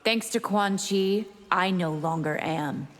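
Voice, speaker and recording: Slow, haughty vampire villainess. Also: female voice, mid-range voice, fairly bright tone. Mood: cold menace. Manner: cool, threatening, disdainful. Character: haughty, deadly female vampire invader. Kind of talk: fighting game character banter. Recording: heavy background noise, long reverb.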